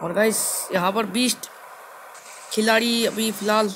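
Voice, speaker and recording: Young male gaming host, extremely high pitch, halting and repetitive casual commentary, slightly noisy recording. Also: fairly even intonation, fairly bright tone. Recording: clearly noisy game capture.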